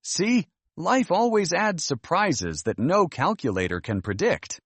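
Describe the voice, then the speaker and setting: Man playing a kindly, lively storybook grandmother. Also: fairly high pitch, a little slow, breathy edge, no echo, voice pushed up, exaggerated voice. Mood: amused. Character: kindly wise grandmother telling lessons.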